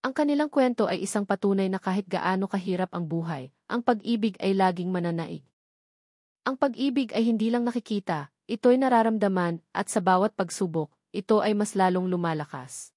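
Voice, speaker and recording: A woman reading a scripted sentimental story in an earnest, measured storytelling manner. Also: medium pitch, a little mellow, dry recording, clean studio sound. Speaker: story narrator.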